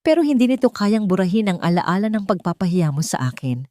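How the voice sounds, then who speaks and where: A woman's voice: medium pitch, very animated, a little fast, a little mellow, no room sound, studio quality, close to an everyday voice. A woman voicing a proud, indignant young working woman in a dramatic audio story. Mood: firm, pleading.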